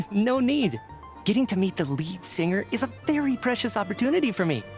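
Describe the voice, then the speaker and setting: A busy, polite theater fan from a video game, with a very animated delivery. He sounds earnest and a bit flustered, chatty and eager, over faint music. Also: high-pitched, moderate pace. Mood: earnest, admiring. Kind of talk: video game character dialogue.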